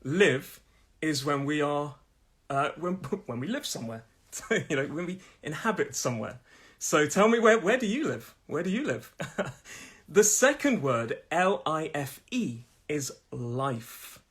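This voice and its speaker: A man from England teaching English pronunciation in a relaxed live lesson, repeating words and laughing between explanations. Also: on the high side, unhurried, slightly rough.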